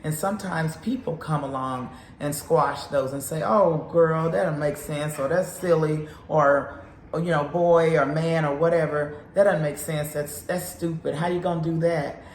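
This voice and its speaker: A woman's voice: deep voice, steady tone, normal speed, a little mellow, roomy sound, slight background noise. A woman in her fifties, a counselor, giving an earnest, encouraging faith talk. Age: 50s.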